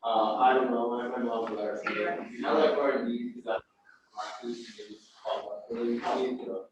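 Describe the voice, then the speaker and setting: A man's voice: mid-range voice, fairly even intonation, noisy background. Hesitant young man in an echoey room. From Los Angeles. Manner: hesitant, halting. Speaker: student. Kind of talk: casual chat. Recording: echoey room, distant dull mic.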